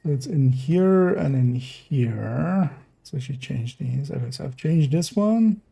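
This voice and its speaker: A young man from the Netherlands talks casually on a live stream, thinking aloud as he works. His voice is gravelly and mellow, with a dark tone. He wanders from point to point in a loose, unhurried way. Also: medium pitch. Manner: thinking aloud, relaxed and meandering.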